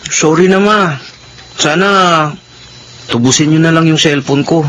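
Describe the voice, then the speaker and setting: A man's voice: a little high, measured pace, a bit bright, rough recording. An actor playing a careless young son who stammers and apologizes to his mother in a radio drama. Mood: sheepish. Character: teenage son, sheepish and careless.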